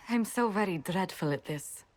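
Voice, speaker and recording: A woman's voice: medium pitch, expressive, slow pace. An actress playing a refined, earnest young woman diplomat in a video game, speaking in formal, polite phrases. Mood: shy, hopeful.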